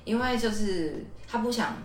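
A woman's voice: a little high, normal speed. A woman with a Taiwanese Mandarin accent co-hosting a podcast, chatting casually in a rambling, conversational way. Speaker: podcast co-host. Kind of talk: casual conversational recap and commentary. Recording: roomy echo, slightly distant mic. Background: music.

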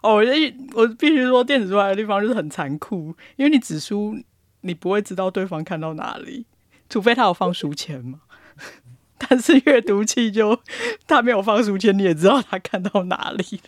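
A woman with a Taiwanese Mandarin accent chatting casually as a podcast co-host, often repeating words in quick bursts. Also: mid-range voice, animated, no echo, studio quality.

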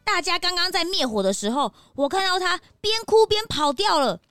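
A woman playing an excitable, sympathetic young townsperson in a children's audio drama. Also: very high-pitched, moderate pace, dry recording, clean studio sound, faint music behind. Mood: indignant.

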